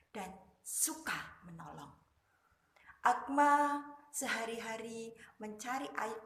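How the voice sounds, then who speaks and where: A woman narrates a tale for young children in a fairly high, bright voice. She goes slowly, breaking sentences into short, emphatic phrases. The room adds noticeable echo. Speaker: kindergarten teacher and storyteller. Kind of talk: dramatic children's storytelling. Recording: echoey room.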